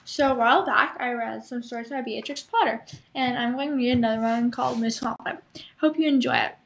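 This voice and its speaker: A woman's voice: on the high side, fairly quick, creaky. A female host presenting a children's story podcast, addressing her listeners. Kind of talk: scripted children's podcast talk.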